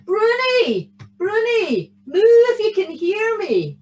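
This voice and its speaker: Woman voicing a high, earnest Scottish farm boy. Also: wildly swooping pitch, slow, a little hiss or hum, full character voice. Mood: urgent calling. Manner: earnest, calling out loudly. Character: stubborn, devoted young farm boy seeking his cow.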